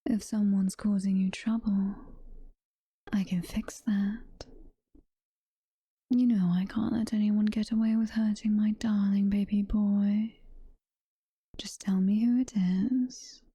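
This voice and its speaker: A woman's voice: mid-range voice, fairly even intonation, dark tone, breathy edge. A British woman playing a doting, possessive demon girlfriend in an intimate ASMR roleplay, whispering and cooing in a motherly way. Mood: softly menacing. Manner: whispered, slow, intimate cooing with pet names. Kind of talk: soft-spoken ASMR roleplay.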